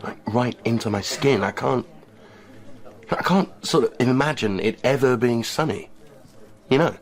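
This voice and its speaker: British male teacher, irritable and strained, medium pitch, naturalistic radio drama delivery. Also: lively intonation, unhurried. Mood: strained, brooding. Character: irritable, stressed male maths teacher at breaking point.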